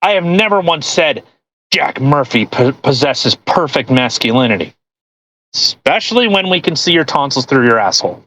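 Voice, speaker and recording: A man's voice: medium pitch, big pitch swings, moderate pace, bright, forceful tone, slight background noise, poor audio. A man co-hosting a podcast, doing a mocking dramatic reading with sarcastic, profane heckling and banter. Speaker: podcast co-host.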